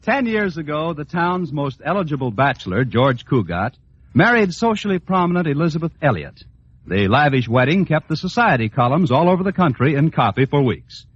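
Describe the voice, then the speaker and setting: Animated high-voiced male vintage radio announcer. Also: a little fast, slight background noise. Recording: dull old radio recording, music behind.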